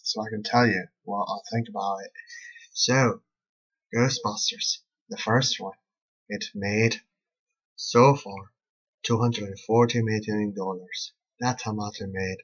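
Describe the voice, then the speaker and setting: A man's voice: on the low side, unhurried, a bit bright, husky. A man in his forties giving a casual, chatty review, often repeating his phrases for emphasis. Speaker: vlogger reviewing a film. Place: small bedroom, indoors. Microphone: webcam at arm's length. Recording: dull, lo-fi webcam sound.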